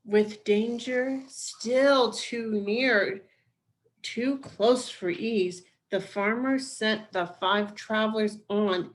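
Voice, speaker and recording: A woman reading a children's picture book aloud as scripted narration, in deliberate, measured phrases with dramatic pauses. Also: on the high side, poor audio. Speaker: storybook reader.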